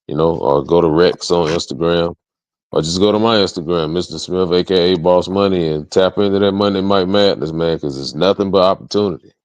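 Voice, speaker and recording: A man's voice: low-pitched, fairly quick, a little mellow, no echo. A man talking casually as an interview guest, in slangy speech peppered with "you know" and "man". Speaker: interview guest, DJ and event promoter.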